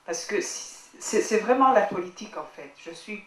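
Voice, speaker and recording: A woman's voice: mid-range voice, lively intonation, normal speed. A woman from Rwanda speaking from the audience after a film screening, earnest and repeating herself to make her point. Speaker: audience member. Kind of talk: public Q&A remarks. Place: screening hall. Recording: roomy hall, distant mic.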